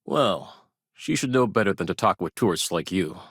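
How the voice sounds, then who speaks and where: A man's voice: deep voice, lively intonation, normal speed, a bit bright, breathy edge, no room sound, clean studio sound, heavily acted. An actor playing a protective, wary traditionalist farmer and family man in a space colony game, stern and guarded with folksy politeness. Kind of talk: video game character dialogue.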